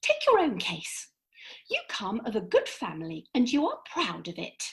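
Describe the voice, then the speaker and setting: A woman playing a brisk, bossy fairy in a comic radio play, speaking in an emphatic, precise, lecturing way. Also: medium pitch, wildly swooping pitch, vocal fry, fairly bright tone, full character voice. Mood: brisk, cautioning. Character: brisk, bossy female fairy, lofty and theatrical.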